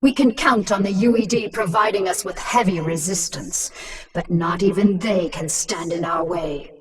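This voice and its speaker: A woman playing a ruthless, cunning alien hive queen in a sci-fi game, with a smug, mocking and deliberate delivery. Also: mid-range voice, recorded from a distance, lightly acted. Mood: confident scheming. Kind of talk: dramatic sci-fi game voice acting.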